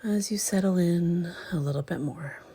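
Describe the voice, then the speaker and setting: A woman leading a guided meditation in a hushed, breathy, gentle and unhurried way, leaving long pauses between phrases. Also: on the low side, no echo. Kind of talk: guided meditation, slow and soothing.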